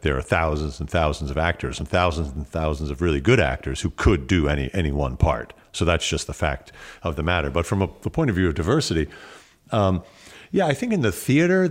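A man talking as an interview guest, reflective and wry in a conversational way. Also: low-pitched. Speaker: guest, actor and standup comedian.

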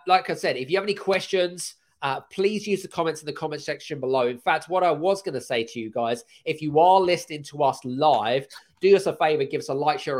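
A man hosting a podcast with a croaky voice, energetic and rambling, with many fillers and restarts. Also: on the high side. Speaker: podcast host. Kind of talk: casual chat.

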